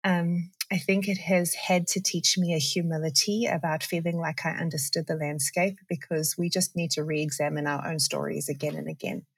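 A woman's voice: low-pitched, steady tone, moderate pace, vocal fry, hardly any pauses, breathy edge, dry recording. A woman in her forties from South Africa with a South African accent, a podcast guest talking thoughtfully and laughing often. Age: 40s. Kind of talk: conversational interview.

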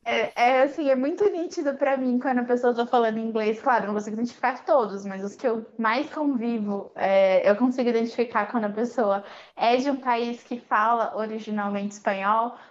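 A woman's voice: fairly high pitch, fairly quick. A Brazilian woman with a Brazilian Portuguese accent, a guest on an interview podcast, telling her story in a chatty way with frequent fillers. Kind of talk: casual interview chat. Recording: muffled lo-fi audio.

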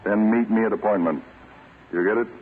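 Actor as deep-voiced American spy-catcher chief. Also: male voice, lightly acted. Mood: measured. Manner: measured, assured, explanatory. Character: calm, authoritative American counterintelligence chief. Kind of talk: 1940s radio acting. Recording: tinny, noisy old radio recording.

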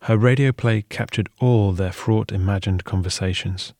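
Low-voiced man narrating, dry studio sound. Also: normal speed, a little mellow, no room sound. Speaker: documentary narrator. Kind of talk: scripted narration.

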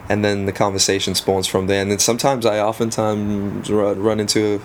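A man in his thirties with a South African accent, a native Afrikaans speaker, talking casually as an interview guest with relaxed pauses and frequent ums. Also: on the low side, a little hiss or hum. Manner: relaxed, frequent ums, self-deprecating humor. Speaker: guest, photographer.

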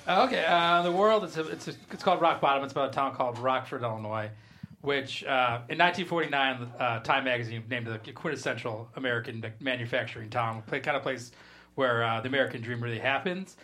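A man speaking casually as a screenwriter guest, halting and repeating phrases as he explains. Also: mid-range voice, pushed and bright, slight background noise. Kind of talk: casual interview talk.

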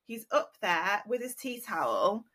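A woman in her thirties co-hosting a podcast, telling stories in an animated, casual way. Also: medium pitch, unhurried, husky. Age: 30s. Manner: animated storyteller, laughs easily. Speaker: podcast co-host. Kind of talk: casual chat.

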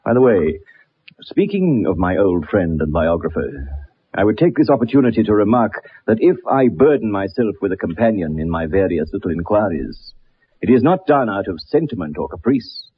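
An actor playing a haughty, precise gentleman detective with a British accent in a radio drama, speaking in formal, pompous phrases. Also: on the low side, big pitch swings, moderate pace, breathy edge, full character voice. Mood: reflective, dry. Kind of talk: radio drama acting with first-person narration. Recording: dull lo-fi old radio recording.

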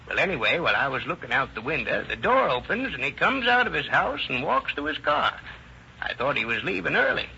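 Folksy rustic witness, high gravelly voice, wildly swooping pitch, rambling, old radio drama, dull hissy recording. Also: male voice, big pitch swings, brisk, sharp tone, exaggerated voice. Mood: folksy, confiding. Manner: rustic, ungrammatical, rambling storytelling. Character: folksy, talkative male neighbor witness, cautious and observant. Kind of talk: 1940s radio acting.

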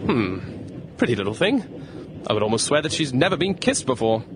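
An actor playing a suave, predatory English lord in a Victorian radio romance, formal, insinuating and self-assured. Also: male voice, very animated, a little fast, natural voice. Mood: seductive, smug. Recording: clear train rumble behind voice. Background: train carriage ambience.

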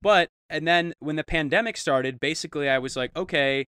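A man co-hosting a podcast, chatting casually and trading quick jokes. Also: on the high side, bright, forceful tone, clean tone, no room sound, clean studio sound. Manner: joking, quick banter. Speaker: podcast co-host. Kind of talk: casual comedic chat.

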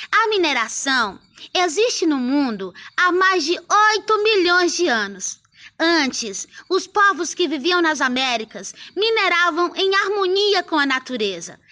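A woman from Pará in Brazil, explaining a topic to children on a radio program in a lively, friendly way. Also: very high-pitched. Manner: lively, speaking warmly to children. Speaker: radio guest presenter.

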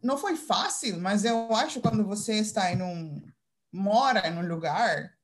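A woman's voice: mid-range voice, expressive, moderate pace, low-quality recording. Fields: Woman. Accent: Dutch. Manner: hesitant. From Curaçao. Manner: hesitant, searching for words with many fillers and restarts. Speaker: English teacher, interview guest. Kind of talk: casual interview answers.

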